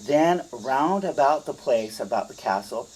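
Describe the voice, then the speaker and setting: A man speaks slowly in a husky, mid-pitched voice. He has the manner of a teacher sharing a story, deliberate and emphatic, addressing his listeners directly as he reads and explains. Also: expressive, a little mellow, low-quality recording. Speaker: teacher reading and explaining a fairytale. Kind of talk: storytelling read-aloud with explanatory asides.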